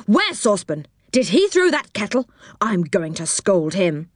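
A woman playing a bad-tempered man in a children's storybook, shouting and scolding indignantly. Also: medium pitch, very animated, measured pace, no echo, clean studio sound. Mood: angry, scolding.

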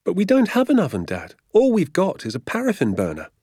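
A man's voice: high-pitched, wildly swooping pitch, fairly quick, warm tone, breathy edge, dry recording, clean studio sound, pitched above the performer's own voice, exaggerated voice. A man voicing an earnest, doubtful young son in a storybook, with a questioning manner. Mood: puzzled.

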